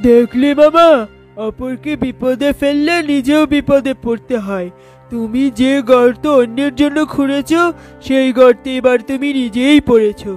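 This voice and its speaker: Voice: sits high, normal speed, darkish tone, faint music behind, natural voice. A performer voicing a small, principled cartoon mouse who admonishes and moralizes. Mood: moralizing. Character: small scolding, principled mouse, nephew to the fox.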